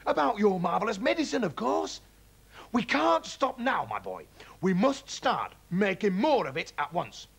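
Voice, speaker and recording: A man voicing an excitable, scheming middle-aged farmer father who gushes about his plans. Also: high-pitched, a little slow, vocal fry, slightly rough, pitched above the performer's own voice, exaggerated voice. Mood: overexcited. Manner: breathless, gushing enthusiasm.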